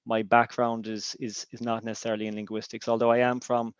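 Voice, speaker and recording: A man's voice: mid-range voice, little pitch movement, fairly quick, clear, resonant tone, no room sound, muffled recording. A man from Ireland with an Irish accent, a native Irish speaker, giving a webinar presentation as a business intelligence director, with many ums and restarts.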